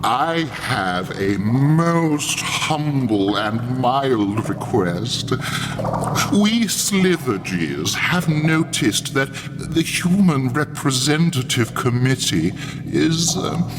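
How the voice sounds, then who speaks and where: An actor playing a falsely humble, aggrieved alien delegate in an audio drama, with a slow, obsequious, wheedling delivery. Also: male voice, medium pitch, very animated, heavy background noise. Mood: oily, aggrieved. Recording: loud music under audio drama clip.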